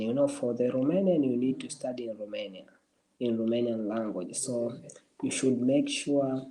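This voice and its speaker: Mellow-voiced man giving advice. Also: mid-range voice, slight background noise, rough recording. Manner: encouraging, repeats and restarts phrases. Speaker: livestream host answering viewers. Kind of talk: casual livestream advice.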